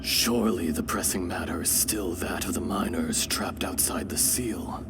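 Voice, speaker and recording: An actor playing an ancient, weary dragon spirit in human form, speaking slowly and poetically in a fantasy video game. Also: male voice, deep voice. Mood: solemn, wistful. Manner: slow, solemn, poetic delivery. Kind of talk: dramatic video game voice acting. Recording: loud music behind the voice.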